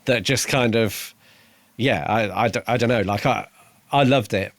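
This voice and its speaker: Low-voiced man, halting, close dry sound. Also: gravelly, no echo. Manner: hesitant, halting, hedging as he builds a thought. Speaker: podcast co-host reviewing a book. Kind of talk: casual conversational book discussion.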